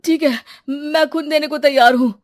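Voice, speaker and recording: A woman's voice: high voice, steady tone, normal speed, pitched below the performer's own voice, lightly acted. A woman voicing an anxious, hesitant adult man, a son and husband in a family drama. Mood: worried. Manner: hesitant, agitated.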